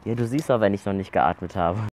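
A man's voice: low-pitched, fast, a little mellow, a little hiss or hum. A young adult man chatting casually outdoors as a vlog host, given to blurting out sudden words. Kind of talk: casual chat. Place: outdoors in a garden by a pool.

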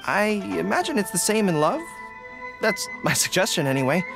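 A man's voice: high-pitched, big pitch swings, gravelly, music in the background, heavily acted. A young man voicing an earnest, formal young dog-eared general in a video game. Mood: earnest, encouraging. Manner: earnest, deliberate, formal phrasing. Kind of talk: video game character dialogue.

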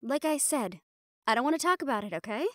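A woman's voice: high voice, lively intonation, fast, background noise. A woman performing an audio roleplay, talking in casual, profane, wry banter.

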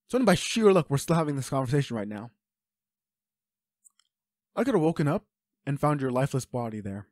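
A man's voice: mid-range voice, sing-song, brisk, long pauses, darkish tone, dry recording, clean studio sound, lightly acted. A man playing an anguished, protective werewolf boyfriend in an audio roleplay, speaking with urgent, pleading emotion. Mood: anguished, firm. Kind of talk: intimate dramatic roleplay acting.

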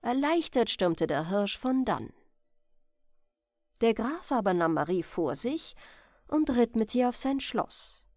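A woman reading a bedtime fairy tale as storyteller, in a gentle, expressive manner. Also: a little high, takes it slowly, pauses often, dry recording, studio quality.

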